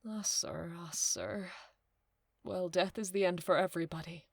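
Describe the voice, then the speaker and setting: A woman's voice: lowish voice, steady tone, measured pace, creaky, breathy edge, no room sound, heavily acted. A woman playing a fretful, pitying nurse in a modern-language Shakespeare audio drama, lamenting and pleading with repeated phrases. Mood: pitying, mournful. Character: Juliet's female nurse, fretful, pitying, bossy servant.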